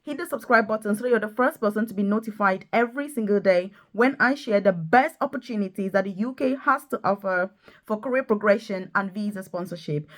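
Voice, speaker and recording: Female career-coach vlogger, mid-pitched voice, enthusiastic and emphatic, pressing the viewer to act. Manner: emphatic, urging, repeats points for stress. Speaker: video host, nurse and career coach. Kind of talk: enthusiastic direct-to-viewer promotional vlog.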